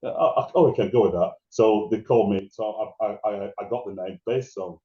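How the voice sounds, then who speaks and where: Deep-voiced man in an echoey, muffled room. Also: a little fast. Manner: rambling, restarts and laughs while telling stories. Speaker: guest DJ. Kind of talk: casual interview conversation.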